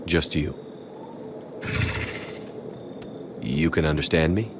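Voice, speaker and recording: Resolute commander, deep voice, firm, measured, plain-spoken, sci-fi game. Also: male voice, noisy background. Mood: earnest. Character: steady, resolute male military commander.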